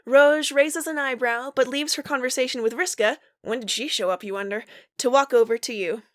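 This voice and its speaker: Woman narrating a fanfic, highish voice, super dry close recording. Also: a little fast, a bit bright, dry recording. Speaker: podfic voice actor. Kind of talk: reading fan fiction aloud with acted dialogue.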